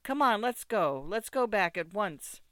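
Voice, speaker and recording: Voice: mid-range voice, animated, moderate pace, a bit bright, clean tone, no echo, studio quality, lightly acted. A narrator voicing a flustered, feeble, exasperated young husband in a storybook, pleading and fretting. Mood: fretful.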